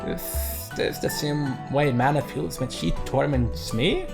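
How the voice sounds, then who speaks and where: A man reading a visual novel aloud as a let's play host, mixing narration with casual, excitable commentary. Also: medium pitch, takes it slowly, creaky. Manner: excitable outbursts between narrated lines. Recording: game music behind the voice. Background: game background music.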